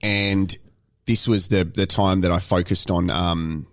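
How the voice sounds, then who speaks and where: A man with an Australian accent co-hosting a podcast, telling rambling anecdotes in casual, animated banter. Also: sits low, a little slow. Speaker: podcast co-host.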